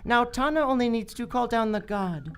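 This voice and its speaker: A woman playing a sly, treacherous male jungle guide in an adventure radio play, with an oily, measured delivery. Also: fairly high pitch, expressive, smooth, close to an everyday voice. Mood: gloating, sinister. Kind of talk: old-time adventure radio acting. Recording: live stage recording, some background noise.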